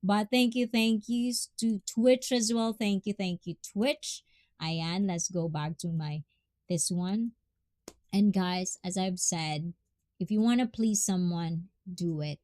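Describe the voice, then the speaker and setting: A woman with a Filipino accent chats her way through a livestream, thanking viewers and giving heartfelt advice. She talks slowly and unhurriedly, laughing often. The sound is dry and close, with almost no room echo. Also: medium pitch, darkish tone. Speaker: livestream host.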